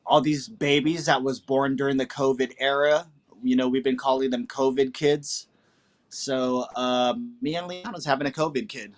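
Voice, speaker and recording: A man co-hosting a podcast, chatting in a relaxed, slangy way and reacting quickly to his co-host. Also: mid-range voice. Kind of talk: casual chat.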